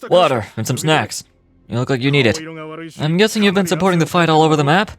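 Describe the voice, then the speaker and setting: A man's voice: on the high side, fast, over music, lightly acted. A man voicing a supportive battlefield comrade in a video game. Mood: caring, brisk. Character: supportive male battlefield comrade, urging rest. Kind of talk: scripted video game dialogue.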